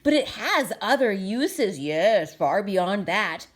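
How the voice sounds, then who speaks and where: Woman playing an animated, boastful storybook salesman. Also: mid-range voice, slow pace. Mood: eager, boastful. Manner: boastful, brushing off objections. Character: eager, smug, dismissive male tradesman salesman.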